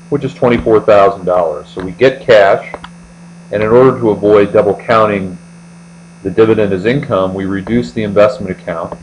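A man's voice: on the low side, low-quality recording. An American man working methodically through an explanatory lecture as an accounting tutor, step by step. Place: indoors, voice-over on screen recording. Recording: steady mains hum.

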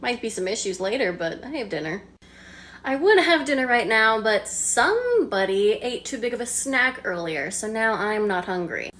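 A woman jokes through a comedic short-video skit, mocking herself. Her fairly high voice swings widely in pitch. Her delivery stays playful and animated. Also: normal speed.

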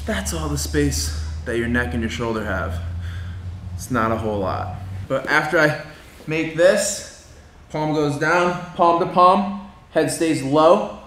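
A man in his thirties giving a casual, slangy martial arts lesson as a laid-back instructor, often checking that he is being followed. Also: a little high. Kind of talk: casual hands-on technique demonstration. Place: indoor gym with mats and padded walls. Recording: echoey room with background noise.

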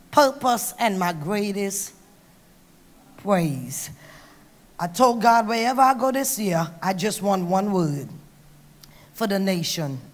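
A middle-aged woman from Trinidad preaching an emphatic sermon on a handheld mic, going from hushed to loud. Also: medium pitch. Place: large banquet hall on stage. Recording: long hall reverb.

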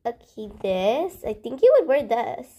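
A woman with a fairly high voice casually narrates her gameplay as a channel host. She speaks very slowly and hesitantly, thinking out loud. Her sentences often trail off into soft murmurs. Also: big pitch swings, slight background noise.

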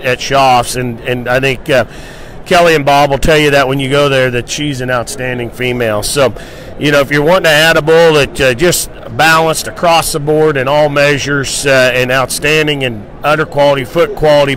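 A man's voice: mid-range voice, fairly even intonation, moderate pace, fairly bright tone, poor audio. A middle-aged man hosting a casual promotional livestock talk into a handheld microphone. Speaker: livestock show host. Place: indoor convention hall booth.

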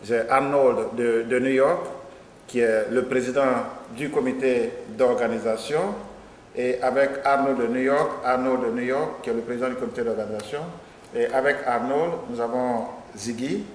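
A middle-aged man hosting a studio interview, asking measured questions and repeating himself to be clear. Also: mid-range voice, steady tone. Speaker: host. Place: small studio with logo backdrop. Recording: echoey studio room, faint noise.

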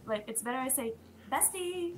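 A woman's voice: high-pitched, expressive, normal speed. A teenage woman from Brazil with a Brazilian Portuguese accent, speaking English as a second language in a casual video-call chat, hesitant and giggly, with many fillers. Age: teens. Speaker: guest.

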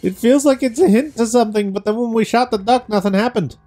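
A woman's voice: fairly high pitch, fast, dark tone, faint music behind. A woman co-hosting a let's play, chatting casually about the game as it goes. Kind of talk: casual gaming chat and commentary.

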